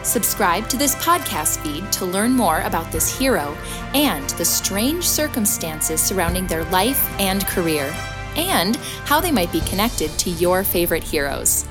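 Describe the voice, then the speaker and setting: An actress playing an investigative reporter, presenting a scripted podcast trailer in a polished way. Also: female voice, on the low side, very animated, a little slow. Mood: composed, intriguing. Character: woman investigative reporter announcing a special report. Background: music.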